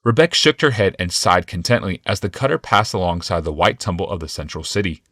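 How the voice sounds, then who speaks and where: Deep-voiced male audiobook narrator. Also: fast talker, a bit bright, no room sound, studio quality. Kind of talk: scripted fantasy audiobook narration.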